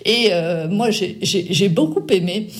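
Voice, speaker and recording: A woman's voice: mid-range voice, animated, a little slow. An older woman interviewing an author about her novel, speaking hesitantly with many fillers and restarts. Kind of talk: radio book interview.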